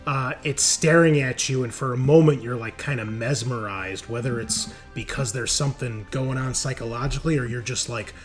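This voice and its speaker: A man's voice: medium pitch, moderate pace, hardly any pauses, soft background music. Casual man running a tabletop game. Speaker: game master.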